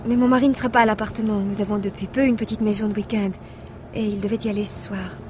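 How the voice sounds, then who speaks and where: A woman's voice: medium pitch, fairly even intonation, fast talker, darkish tone, slight background noise, natural voice. A woman playing an anxious, hesitant wife confiding in her driver in a French radio mystery drama, breaking off and restarting her sentences. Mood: hesitant pleading.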